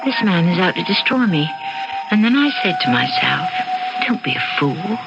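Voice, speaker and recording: Cool, calculating housewife, defensive and theatrical; vintage radio thriller, dull sound with music underneath. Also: female voice, on the low side, big pitch swings, moderate pace, gravelly. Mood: haunted, confessional. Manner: poised, defensive, dramatic. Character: cool, evasive housewife scheming to get away with murder. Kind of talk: old-time radio mystery acting. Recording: dull radio-drama recording with music behind.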